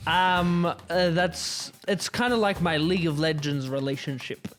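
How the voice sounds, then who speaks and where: A man with a fairly high voice talks casually as a podcast panelist. He is hesitant and stop-and-start, with music clearly playing behind him. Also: unhurried, a bit bright.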